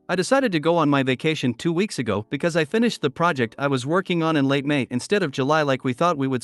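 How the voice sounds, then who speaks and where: A man narrating online posts aloud in a steady read-aloud delivery. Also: fairly high pitch, dry recording.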